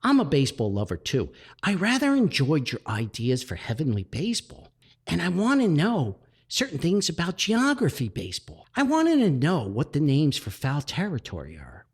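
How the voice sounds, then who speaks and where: A man's voice: a little high, wildly swooping pitch, moderate pace, husky, heavily acted. An inquisitive, prim lady from a comic story, acted out by a man. The voice lifts and swoops with eager politeness as it chats and questions. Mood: eager, curious. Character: curious woman baseball lover, prim and eager questioner.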